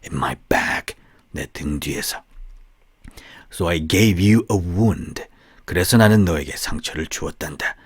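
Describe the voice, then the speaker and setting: A man voicing a wounded, vengeful snake in a storybook, speaking gravely and reproachfully in a hushed voice. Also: no echo, clean studio sound, exaggerated voice. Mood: grave reproach. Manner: low, hushed, slow and solemn.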